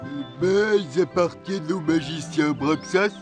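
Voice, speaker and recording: A woman's voice: deep voice, a little slow. A woman playing a simple, puzzled peasant farmhand in a French cartoon. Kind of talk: French dubbed cartoon acting. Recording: music behind the voice.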